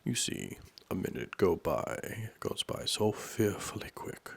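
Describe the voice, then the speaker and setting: A man voicing a fussy, pompous and feeble old storybook king with slow, emphatic delivery. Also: fairly low pitch, husky, full character voice. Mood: blustering.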